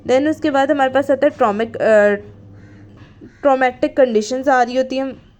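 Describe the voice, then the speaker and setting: A woman's voice: sits high, normal speed, darkish tone. A woman teaching in an explanatory lecture style, reading out notes and then rephrasing them, mixing in English terms and often checking in. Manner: code-switches between Hindi and English terms; repeats and rephrases points, checking with "okay". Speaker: teacher of physiotherapy students.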